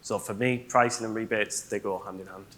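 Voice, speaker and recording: Low, bright-voiced man explaining on a panel. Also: steady tone, brisk. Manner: explanatory, often checks with "right?". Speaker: business excellence manager. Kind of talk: conference panel talk.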